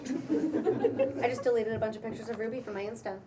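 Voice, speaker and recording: A woman's voice: a little high, normal speed, lightly acted. A woman playing a defensive, self-pitying broke writer after a breakup, in a casual, conversational comedy-drama script read. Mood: bitter. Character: Janie, mopey, defensive broke female writer, freshly dumped. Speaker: actor at a table read. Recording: distant mic, faint room noise.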